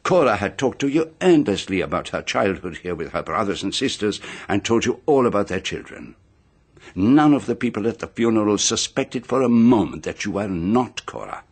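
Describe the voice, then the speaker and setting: An actor playing a shrewd, composed detective in a radio drama, deliberate and precise, with dramatic pauses. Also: male voice, lowish voice, sing-song, breathy edge, heavily acted. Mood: measured, accusing. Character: shrewd, composed male detective laying out a murder case.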